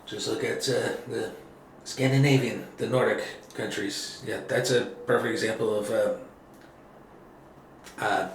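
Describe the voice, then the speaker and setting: A man hosting a casual political podcast conversation, relaxed and agreeable, with lots of filler words. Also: on the low side, unhurried, vocal fry. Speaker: podcast host. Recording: echoey room with faint noise.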